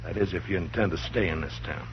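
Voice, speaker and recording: An actor playing a stern, steady frontier marshal, firm and commanding, in a 1950s radio drama. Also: male voice, low voice, fast, husky. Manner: firm and commanding, with dry chuckles. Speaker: lead. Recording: muffled old radio broadcast, faint hiss.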